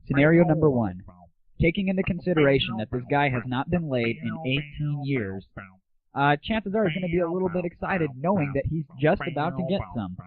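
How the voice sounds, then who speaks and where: A man hosting an internet audio show, delivering a crude, sarcastic and casual comic rant. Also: fairly high pitch. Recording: narrow, tinny lo-fi internet audio.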